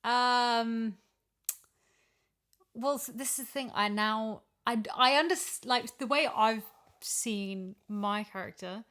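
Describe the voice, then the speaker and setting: A woman's voice: on the high side, slow pace, fairly bright tone, clean tone. A woman chatting casually as a voice actor about her work, reflective and working through her ideas as she speaks. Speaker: voice actor discussing her character. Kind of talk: casual discussion.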